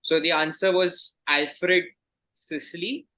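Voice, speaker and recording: Bright, higher-voiced male quizmaster. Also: fairly even intonation, slow pace, rough recording. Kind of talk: reading quiz questions aloud.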